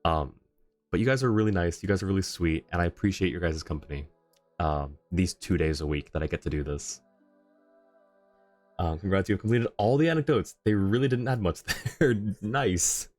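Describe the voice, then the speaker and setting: A man's voice: low voice, moderate pace, darkish tone, no echo, soft background music. A man livestreaming a game, chatting casually and thinking aloud in an easygoing way.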